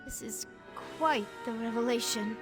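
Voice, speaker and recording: Music plays under a regal young empress played by a woman. Her voice is slightly high and slow, reserved and halting in a fantasy game cutscene. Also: close to an everyday voice. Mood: stunned, halting.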